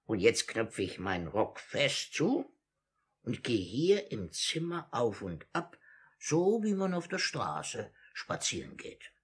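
A sly old thief boss with a husky voice that swoops widely. He is fawning and scheming, repeats his words in a singsong, and performs in theatrical 1950s radio-play style. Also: medium pitch, wildly swooping pitch, slow, heavily acted. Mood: jovial, coaxing. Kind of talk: 1950s German radio drama acting.

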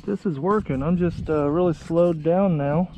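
A young American man chatting casually to viewers in a folksy, excitable way while out on the water. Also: a little high, moderate pace. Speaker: vlog host. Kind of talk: casual outdoor vlog chat. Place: outdoors on a kayak under a bridge. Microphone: action camera. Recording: muffled action camera audio with outdoor noise.

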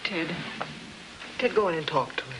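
A woman's voice: low-pitched, animated, normal speed. A woman playing a worried, insistent middle-aged American mother in a 1950s film drama. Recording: noisy old film soundtrack.

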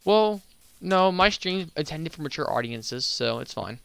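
A man's voice: a little high, lively intonation, unhurried, gravelly, fairly bright tone, clean tone. A young man streaming a game and chatting casually, stumbling over words, slurring a little, with a slight lisp. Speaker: gaming YouTuber and streamer. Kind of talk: casual gameplay chat.

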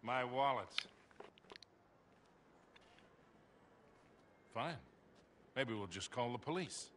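A man's voice: medium pitch, lively intonation, moderate pace, fairly bright tone, a little hiss or hum, close to an everyday voice. A man playing a street-smart, middle-aged American con man in a video game, wry and teasing with easy authority. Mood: firm. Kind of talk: cinematic video game acting.

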